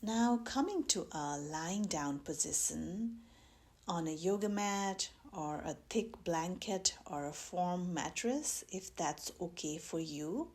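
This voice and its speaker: Slow, low-voiced woman guiding meditation. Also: lively intonation. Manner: gentle, measured, with long pauses. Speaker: mindfulness meditation teacher. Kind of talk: guided meditation instruction.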